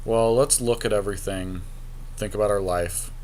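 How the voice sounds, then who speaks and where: Low-voiced man chatting over a card game. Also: steady tone, moderate pace, darkish tone, a little hiss or hum. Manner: dry self-mocking humor, swears casually. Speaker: podcast co-host. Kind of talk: casual gaming commentary, chatting while drafting cards.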